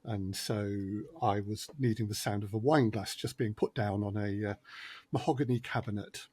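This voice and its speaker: A man's voice: fairly low pitch, steady tone, moderate pace, a little mellow, breathy edge, dry recording. A man talking as a sound designer and podcast guest, thoughtful and wry, with frequent hesitations, in a casual discussion.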